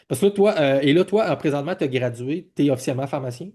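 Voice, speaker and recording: A man's voice: a little high, lively intonation, fast talker, dark tone. A man in his forties from Quebec, with a Quebec French accent, asking casual, rambling interview questions as a podcast host and often rewording himself as he goes. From Quebec, Canada. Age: 40s. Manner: informal, rambling, self-correcting questions.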